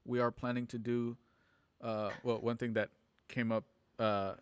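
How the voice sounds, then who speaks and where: A man hosting an online show, chatting casually and haltingly on a livestream about plans for it. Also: mid-range voice, level tone, smooth, dry recording. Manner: halting, informal. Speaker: host and organizer of an online radio drama show. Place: at home on a multistream video call.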